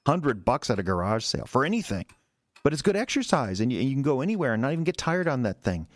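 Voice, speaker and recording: An actor in an audio drama playing a resentful, defensive husband who stammers and rambles in a halting, wounded way. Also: male voice, mid-range voice, very animated, dry recording, natural voice. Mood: brooding, resentful. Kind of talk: naturalistic audio drama acting.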